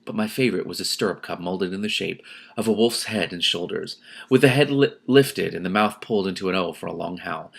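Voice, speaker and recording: A man reading a novel aloud as host, expressive and emotionally invested, breaking in with spontaneous, effusive reactions. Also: deep voice, fairly quick, creaky.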